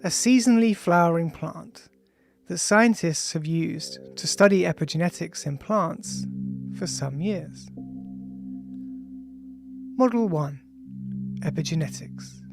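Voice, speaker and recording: An author of unclear gender reads their own scientific audiobook in a measured way, speaking punctuation aloud. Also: medium pitch, creaky. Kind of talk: audiobook reading of a scientific text. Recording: music behind the voice. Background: ambient music with bell-like tones.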